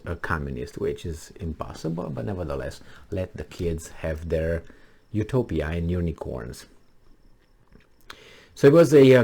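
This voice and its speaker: Low-voiced man, halting. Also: darkish tone. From Romania. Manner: halting, many fillers and restarts. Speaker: vlogger. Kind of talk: casual monologue to camera.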